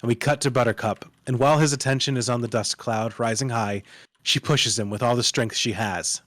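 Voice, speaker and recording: A man's voice: medium pitch, steady tone, fairly quick, fairly bright tone, dry recording. A man giving dramatic scripted narration, reading stage directions like a storyteller.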